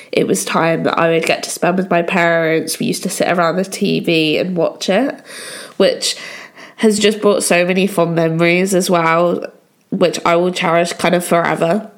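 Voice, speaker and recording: A young woman from England hosting a solo podcast, her voice croaky from a cold, chatting along with lots of "kind of" and "um". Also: on the low side. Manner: chatty, many fillers like "kind of". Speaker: podcast host.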